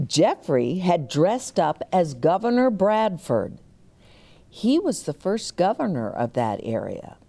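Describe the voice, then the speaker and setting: A woman storyteller reading a picture book aloud to young children, animated, with chatty explanatory asides. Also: on the low side, slow pace, vocal fry.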